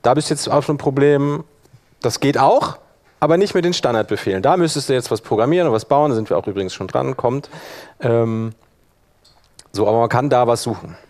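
A man's voice: mid-range voice, fairly quick, darkish tone. A man presenting a workshop in an informal tutorial style, thinking aloud as he demonstrates step by step.

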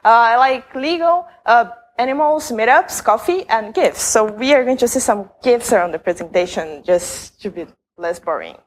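A woman's voice: fairly high pitch, expressive, moderate pace. A young woman from Brazil with a Brazilian Portuguese accent, giving a conversational conference talk with many fillers and restarts. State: jet-lagged. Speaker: conference speaker.

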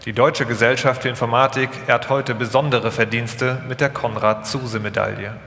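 Male narrator for a live scripted documentary, mid-pitched, in a very reverberant hall. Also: steady tone, moderate pace, fairly bright tone. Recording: echoey hall, long reverb.

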